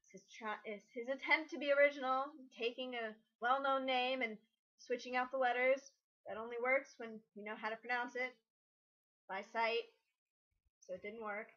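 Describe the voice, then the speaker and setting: A woman's voice: a little high, fairly even intonation, moderate pace, pushed and bright. A woman giving casual guest commentary, blunt and critical, explaining her objections as she goes. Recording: dull lo-fi home recording.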